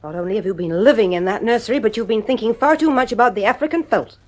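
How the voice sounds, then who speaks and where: A woman playing an anxious, reasoning mother, speaking in earnest, measured pleas in a 1950s radio drama. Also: on the high side, close to an everyday voice. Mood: earnest concern. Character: worried, nervy, reasoning mother and wife.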